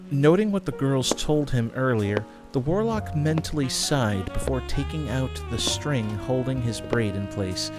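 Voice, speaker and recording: A man reading scripted fantasy story narration as the narrator of an audio drama. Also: mid-range voice.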